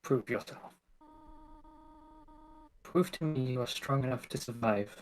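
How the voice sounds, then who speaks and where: A man voicing a gentle but stern motherly monster guardian from a video game, speaking slowly and solemnly. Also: mid-range voice, slight background noise, natural voice. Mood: solemn, challenging. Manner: slow, solemn delivery. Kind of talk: dramatic reading of game dialogue.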